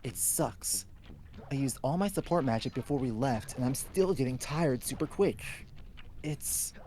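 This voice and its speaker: A man voicing a tired, self-critical young woman scholar in a fan story, in a weary, halting, downcast way. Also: medium pitch, lively intonation, dark tone, a little hiss or hum, natural voice. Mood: frustrated, weary.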